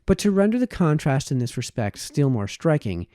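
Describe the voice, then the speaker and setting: A man reading a formal historical essay aloud as an audiobook narrator, with measured, emphatic phrasing. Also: a little high, sing-song, dark tone, no room sound, studio quality. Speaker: audiobook reader.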